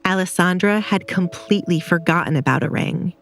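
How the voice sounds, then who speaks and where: A woman narrates a scripted romantic audio drama. Her voice sits fairly low, with music playing underneath. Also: brisk, vocal fry.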